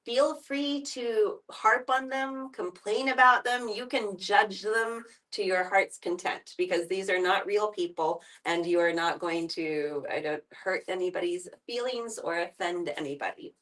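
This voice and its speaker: A woman's voice: medium pitch, a little slow, hardly any pauses, fairly bright tone. A woman hosting a casual group discussion, with a giggly, informal way of talking. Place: live group gathering in a room. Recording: distant, off-mic pickup.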